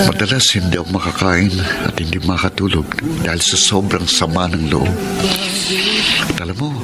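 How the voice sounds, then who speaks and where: Loud music runs under a Filipino radio drama. A male actor plays a lovelorn, brooding man with a deep voice. He confides earnestly, as if baring a wounded heart. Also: close to an everyday voice. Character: brooding lovelorn man torn over his estranged wife.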